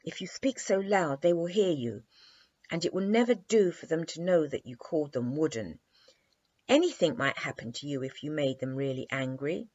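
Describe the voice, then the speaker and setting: Woman voicing a proud little boy prince, lively pitch. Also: normal speed. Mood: earnest. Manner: self-important, earnest, emphatic. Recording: dry, slightly dull lo-fi recording.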